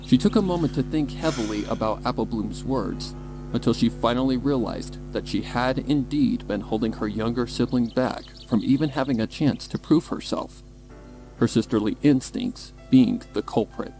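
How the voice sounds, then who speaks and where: A man's voice: medium pitch, normal speed, poor audio. A man reading scripted, dramatic story narration as the narrator. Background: music bed.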